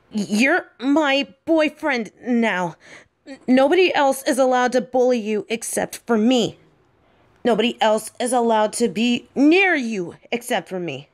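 A woman's voice: fairly high pitch, lightly acted. Woman doing a bossy tsundere bully girl for audio roleplay, possessive, secretly smitten, takes her time with the words. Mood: possessive, flustered. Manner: bossy, taunting, flustered halting delivery. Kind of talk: acted audio roleplay addressed to the listener.

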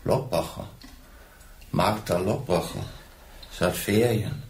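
A plain, hesitant old stable hand. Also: male voice, deep voice, slow pace, darkish tone. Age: 50s. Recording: roomy with faint noise.

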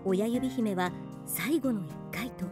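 A woman's voice: mid-range voice, slow pace, over music. A woman narrating a fairy-tale radio drama in scripted storybook style.

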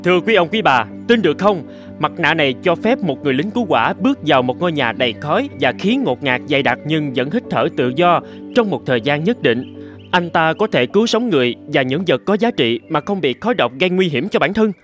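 A man's voice: a little high, big pitch swings, fairly quick, music in the background. A man playing a showy fairground presenter in a cartoon, emphatic and salesmanlike. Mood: proud, selling. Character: showy male fairground presenter pitching to a crowd. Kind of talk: theatrical cartoon announcing.

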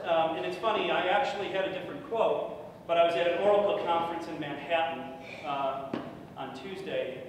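Loud chatty man speaking in an echoey hall. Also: fairly high pitch. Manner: loud, chatty, with many fillers. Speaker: conference speaker. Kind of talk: casual conference talk. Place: large conference room with projector. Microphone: podium microphone from far off. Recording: echoey room, distant mic, noisy.

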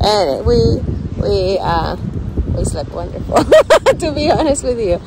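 A woman's voice: mid-range voice, sing-song, takes it slowly, heavy background noise. A woman in her thirties filming herself on a road trip, chatting cheerfully to the camera and laughing often. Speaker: travel vlogger. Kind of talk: casual travel vlog to camera. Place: outdoors on a road trip, roadside and campsite. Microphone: handheld camera at arm's length. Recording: music bed underneath.

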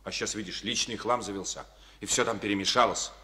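An actor playing a firm, probing district party secretary in a studio radio play, questioning and rebuking in a calm manner. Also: male voice, lowish voice, quick pace. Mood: stern. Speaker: party official.

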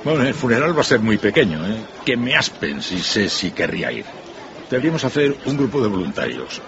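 A man's voice: lowish voice, big pitch swings, a little fast, crowd noise. An actor playing a wry, offhand businessman gossiping without sympathy in a radio drama. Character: callous, jokey businessman gossiping. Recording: dull radio recording with noisy street ambience.

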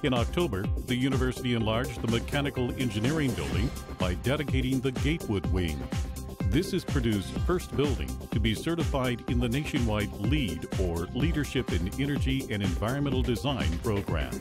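Newsreel narrator: American man, middle-low voice with expressive range, scripted read. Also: a little fast. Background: music bed.

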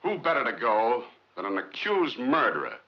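An actor playing a brooding, determined scientist in a 1950s film drama, with clipped, earnest, sardonic delivery. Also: male voice, medium pitch, wildly swooping pitch, normal speed, breathy edge, full character voice. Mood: defiant, determined. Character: brooding, determined male rocket scientist accused of murder. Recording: muffled 1950s film soundtrack.

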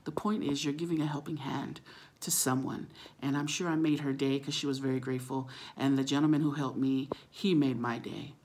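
A middle-aged woman with a husky, gravelly voice, chatting casually to camera and telling a story, acting out the dialogue as she goes. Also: rumbling low, fairly even intonation, fairly quick, a little mellow. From Samoan, living in California. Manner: chatty, acts out quoted dialogue. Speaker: vlogger. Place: small room at home, indoors. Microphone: phone at arm's length.

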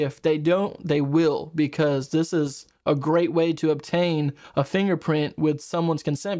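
A man's voice: fairly high pitch, darkish tone. Nasal, congested man chatting. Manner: chatty, speculative, conversational. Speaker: reaction video host. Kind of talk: casual commentary on clips. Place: home streaming room with neon lights.

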